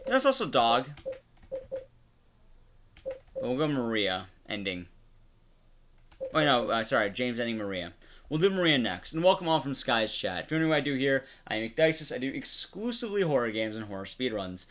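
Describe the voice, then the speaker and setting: A man's voice: mid-range voice, very animated, normal speed, pauses often, fairly bright tone. A man giving casual, conversational live stream commentary on a game, explaining things directly to his viewers. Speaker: streamer and horror game speedrunner.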